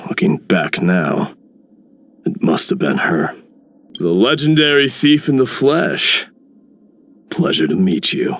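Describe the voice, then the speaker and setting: An actor voicing a measured, reflective warrior in a video game. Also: male voice, deep voice, sing-song, a bit bright, heavily acted. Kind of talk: video game character voice lines.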